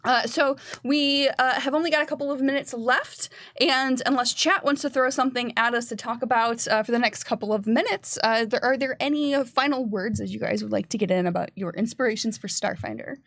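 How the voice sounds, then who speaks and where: A woman's voice: on the high side, fairly even intonation, brisk, hardly any pauses, a bit bright, no echo, clean studio sound. A woman who works as a game designer, hosting a casual panel discussion and explaining her ideas in a conversational way.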